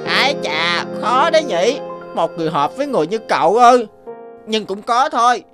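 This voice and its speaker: A woman's voice: fairly high pitch, very animated, moderate pace, over music. A woman voicing a wise, grateful talking toad in a fairy-tale cartoon, assured and instructive. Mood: musing. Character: wise grateful talking toad granting magical help to a prince.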